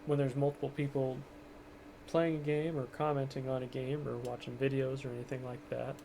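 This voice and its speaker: Gravelly monotone man streaming at home. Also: a little fast. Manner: rambling, low-key and self-deprecating. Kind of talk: casual gameplay commentary. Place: home, kept quiet for others in the house. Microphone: cheap microphone. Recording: noisy cheap mic with game sound.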